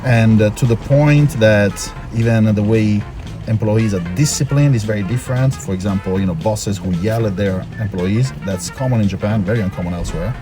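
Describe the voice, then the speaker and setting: A man's voice: on the low side, brisk. A man from Italy chatting casually as an interview guest, explaining things at length with emphatic repetition. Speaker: interview guest, foreign worker in Japan. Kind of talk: casual street-interview chat. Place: in or by a car. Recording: music behind the talk.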